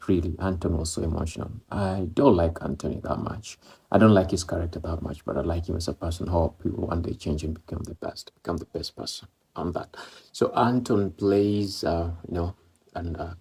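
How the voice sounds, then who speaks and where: A man's voice: low-pitched, darkish tone. A man from East Africa, speaking English as a second language, talks casually about a football match and rambles with many fillers and repeats. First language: Swahili or Maloko. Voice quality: gravelly, husky. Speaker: football vlogger.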